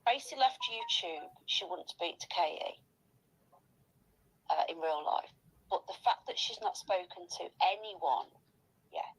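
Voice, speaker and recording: A woman's voice: lowish voice, animated, unhurried, long pauses, breathy edge, low-quality recording. A woman chatting casually in a gossipy, emphatic and insistent way.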